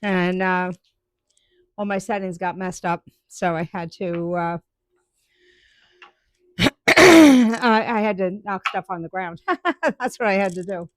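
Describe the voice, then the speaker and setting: A woman's voice: medium pitch, slow, long pauses, no echo. A woman chatting live with viewers about her woodturning, rambling with lots of ums and restarts. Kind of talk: casual live-stream chat.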